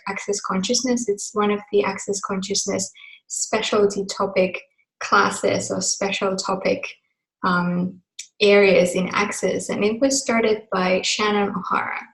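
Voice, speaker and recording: A woman's voice: medium pitch, fairly even intonation, a little slow, creaky. A woman from Finland hosting a group video call, talking casually with many fillers and asides. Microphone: computer mic on a video call. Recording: echoey video call audio.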